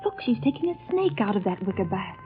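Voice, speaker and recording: A woman's voice: mid-range voice, sing-song, fast, faint music behind, natural voice. An actress playing a poised, earnest society woman, a sleuth's companion, in genteel 1940s radio style. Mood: fascinated. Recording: tinny, faintly noisy old radio recording.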